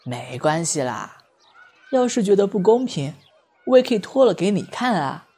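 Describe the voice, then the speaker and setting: A man's voice: high voice, very animated, normal speed, warm tone, breathy edge, heavily acted. A man playing a guileless, blunt, socially oblivious teenage boy artist in an amateur audio drama. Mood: matter-of-fact.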